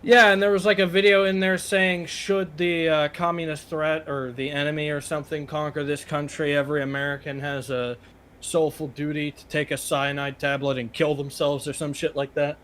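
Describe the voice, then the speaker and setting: Higher-voiced man with background noise. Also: level tone, moderate pace, a bit bright. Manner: swears casually. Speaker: reaction show co-host. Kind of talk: casual group commentary while watching videos.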